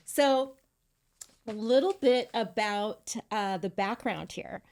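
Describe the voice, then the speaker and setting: A bubbly woman hosts a relaxed podcast conversation, her voice sitting at an ordinary middle pitch. She keeps things upbeat and light, laughing easily between her questions. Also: slow pace. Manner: bubbly, laughs often, enthusiastic. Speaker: podcast host. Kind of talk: casual interview chat. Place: California.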